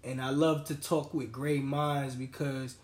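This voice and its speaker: A man's voice: mid-range voice, level tone, measured pace, recorded from a distance. A man, hosting a casual podcast chat in laid-back street slang. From New York. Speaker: podcast host. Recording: echoey room, off mic.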